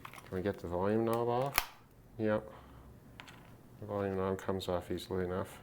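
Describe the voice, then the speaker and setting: A man giving casual, unscripted commentary on a hands-on repair, halting and musing to himself as he works. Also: sits low, fairly even intonation. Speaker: host of a hobby radio repair video. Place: at a desk, indoors. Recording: clear background noise, handling clicks.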